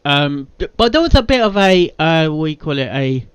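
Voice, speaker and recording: Casual reaction commentary, excitable, halting, repetitive phrasing, very lively pitch, dry close sound. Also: clean tone, no echo. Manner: halting, repeats words, excitable. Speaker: reaction video maker reviewing a fan fiction reading.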